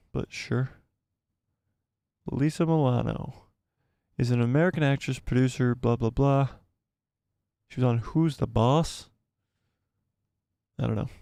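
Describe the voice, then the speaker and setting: Man, hoarse, casual stream host, rambling, repeats himself, mid pitch, dry close sound. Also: darkish tone, dry recording. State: losing his voice, coughing. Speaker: livestream host. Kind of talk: casual live-stream chat reading viewer comments.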